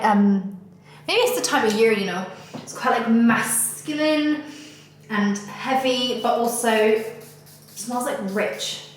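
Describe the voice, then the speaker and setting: Woman vlogger in her thirties, chatty and gushing, slight head cold, roomy echo. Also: medium pitch. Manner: chatty, gushing, filler-heavy. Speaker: lifestyle vlogger. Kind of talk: casual vlog chat. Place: hotel room indoors. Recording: echoey room.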